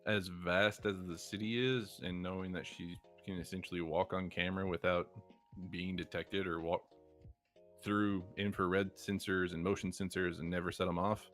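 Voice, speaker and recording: Low-pitched man narrating as game master. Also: flat delivery, moderate pace, fairly bright tone, faint music behind. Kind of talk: narrating a live-play tabletop roleplaying game.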